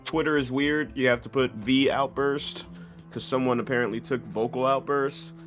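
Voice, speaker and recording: A man's voice: mid-range voice, fairly even intonation, moderate pace, a bit bright, soft background music. A young man introducing himself as a player in a tabletop game, jokey and loose, with many uhs. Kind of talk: casual self-introduction.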